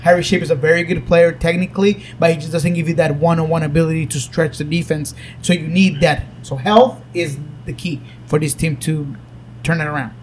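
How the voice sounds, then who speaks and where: A man's voice: on the high side, fairly quick, a little hiss or hum. A man with a British accent, casually analysing soccer on a podcast and often murmuring agreement. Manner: relaxed soccer analysis with frequent affirming murmurs. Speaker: host.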